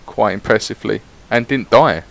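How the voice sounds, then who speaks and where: A man's voice: on the low side, normal speed, gravelly, poor audio. A man giving casual, rambling voice-over commentary on his gameplay, swearing freely as he chats. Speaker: gaming YouTuber.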